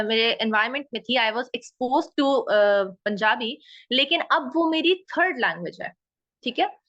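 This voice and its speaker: A woman's voice: sits high, a bit bright. Pakistani Urdu-speaking woman explaining, lo-fi. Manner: frequent "okay?" checks, mixing in English phrases. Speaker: linguistics researcher. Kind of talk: explanatory talk. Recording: dull lo-fi audio.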